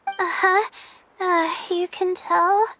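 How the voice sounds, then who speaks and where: A young student girl with a very high, timid voice. She is anxious and weary, and she speaks hesitantly, like a gentle character in an anime-style video game. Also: fairly even intonation, extremely slow, noisy background, exaggerated voice.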